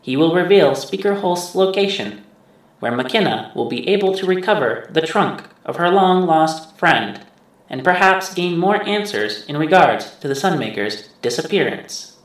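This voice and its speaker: A man voicing a computer implant that formally recites stored memories in a measured scripted recap. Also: a little high, lively intonation. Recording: heavily echoing processed voice.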